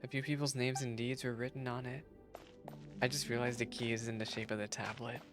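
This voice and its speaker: A woman game streamer with a low voice gives casual running commentary. She is excitable and reacts in short exclamations. Game music plays clearly behind her. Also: brisk.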